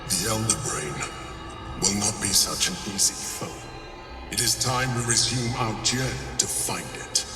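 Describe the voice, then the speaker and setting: An actor voicing an imperious, aloof otherworldly guardian in a video game, speaking in a haughty, measured and formal manner. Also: male voice, fairly low pitch, lightly acted. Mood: cold, disapproving. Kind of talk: dramatic video game dialogue. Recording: echoey hall, distant, music underneath.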